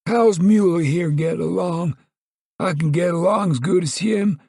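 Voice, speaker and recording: A man voicing a stubborn, cantankerous old male farmer with a drawl who cusses defiantly in a high, shrill voice. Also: slow pace, vocal fry, a little mellow, breathy edge, no echo, studio quality, full character voice. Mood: angry.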